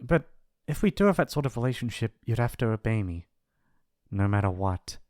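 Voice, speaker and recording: A man's voice: lowish voice, sing-song, a little fast, darkish tone, dry recording, clean studio sound. A man playing a shy, apologetic young mafia boss's son in an intimate ASMR roleplay, with a hesitant, rambling, awkward delivery. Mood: tentative, teasing.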